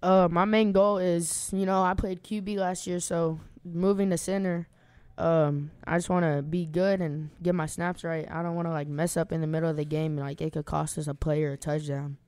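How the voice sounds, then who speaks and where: A man's voice: a little high, flat delivery, normal speed, darkish tone, clear, resonant tone, no room sound. A teenage boy football player answering pregame questions hesitantly, often starting with "um". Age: teens. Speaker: high school football player, guest. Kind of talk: casual pregame interview.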